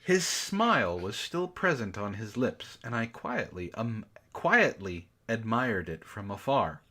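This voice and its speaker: An exasperated, sarcastic host reads a story aloud in a bright, forceful voice and keeps breaking off to mock it. The delivery swings widely in pitch, with casual swearing in the commentary. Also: very animated, a little slow. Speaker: book club host reading aloud and commenting. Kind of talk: mocking dramatic reading with casual commentary.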